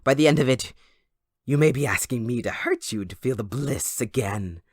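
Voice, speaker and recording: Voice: mid-range voice, lively intonation, normal speed, no echo, clean studio sound, close to an everyday voice. A performer voicing a gleeful, sadistic supervillain kidnapper in an audio roleplay, theatrical, mocking and possessive toward a captive listener. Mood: gleeful menace. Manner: theatrical, mocking, giggling, swooping between croon and shriek.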